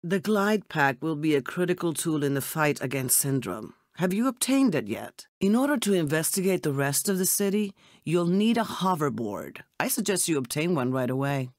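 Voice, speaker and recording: A woman's voice: low-pitched, no echo, studio quality. Poised, elegant female secret agent. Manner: polite, composed, formal mission-giver. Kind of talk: scripted video game character dialogue.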